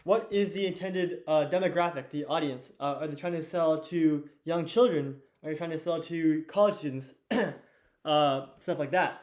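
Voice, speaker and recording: A teenage boy with a hoarse, fading voice, teaching an investing lesson at a whiteboard in a loose, chatty way with lots of fillers and restarts. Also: fairly high pitch, normal speed, darkish tone. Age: teens. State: hoarse, losing his voice. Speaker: student teacher of an investing course.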